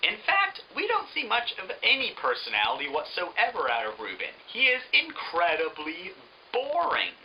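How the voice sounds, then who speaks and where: A man's voice: high voice, huge pitch swings, normal speed, bright, forceful tone, a little hiss or hum, rough recording. A man giving an opinionated book review in a sarcastic, emphatic manner.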